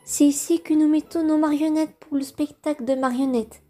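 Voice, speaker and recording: A woman's voice: high-pitched, fairly even intonation, normal speed, dark tone, soft background music, lightly acted. A woman voicing a polite, reassuring young schoolboy in a comic dub. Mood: matter-of-fact.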